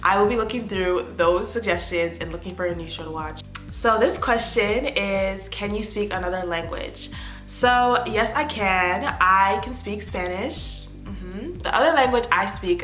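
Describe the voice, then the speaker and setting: A young American woman in her twenties chatting casually to the camera as an influencer, answering fan questions with animated bursts of excitement. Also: medium pitch, moderate pace, reverberant, faint music behind. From United States. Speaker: lifestyle influencer. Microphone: phone or tablet camera.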